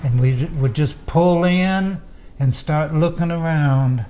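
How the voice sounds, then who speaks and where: Slow, dry-humored older man. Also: fairly high pitch, dark tone, slight background noise. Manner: unhurried, halting, dry humor. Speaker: guest, host's father. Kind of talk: casual chat. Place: his condo, indoors.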